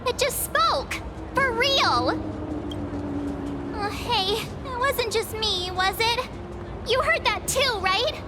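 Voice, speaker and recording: A woman voicing an excitable, cheerful young girl in an anime-style game, exclaiming and chatting brightly. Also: extremely high pitch, slow, long pauses, heavy background noise, heavily acted. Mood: startled.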